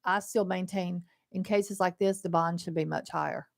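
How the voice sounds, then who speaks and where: A woman's voice: lowish voice, fairly even intonation, normal speed, gravelly, no room sound, clean studio sound. Give me a woman co-hosting local radio. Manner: brief, agreeable interjections. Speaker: radio talk show co-host. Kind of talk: casual radio talk. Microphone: studio microphone.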